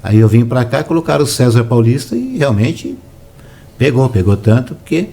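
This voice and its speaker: A man, a relaxed, soft-spoken storyteller reminiscing in a casual interview. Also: mid-range voice, normal speed, gravelly. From São Paulo, Brazil. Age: 60s. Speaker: interview guest, former footballer.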